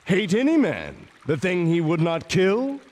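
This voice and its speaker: An actor playing a brash, sarcastic young outlaw who talks in a blunt, mocking, quick-tempered way in a video game. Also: male voice, sits high, wildly swooping pitch, darkish tone, exaggerated voice.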